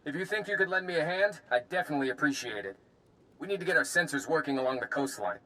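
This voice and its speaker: A man's voice: fairly high pitch, lively intonation, fast talker, sharp tone, rough recording. An actor playing a harried, blunt male army officer in a video game. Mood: relieved, businesslike. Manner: urgent, blunt.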